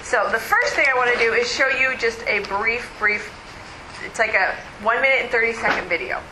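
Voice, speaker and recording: A woman presenting at a conference in a loud, chatty way, moving around as she speaks. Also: a little high, normal speed, background noise. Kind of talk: casual conference talk. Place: conference meeting room. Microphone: room microphone, not close. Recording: echoey room recording.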